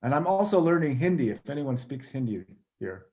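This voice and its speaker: A man's voice: medium pitch, sing-song, fairly quick. Man presenting on a video call. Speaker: travel photography company head, presenter.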